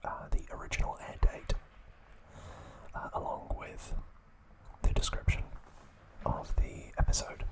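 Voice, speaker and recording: A man hosting ASMR, reading trivia in a breathy, hushed, soothing whisper. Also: rough recording. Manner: slow, hushed, soothing delivery. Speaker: ASMR video host.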